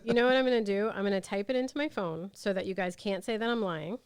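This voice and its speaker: A woman's voice: mid-range voice, quick pace, clear, resonant tone, no echo. A woman chatting casually and playfully as a podcast guest, with a wry edge. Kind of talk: casual chat.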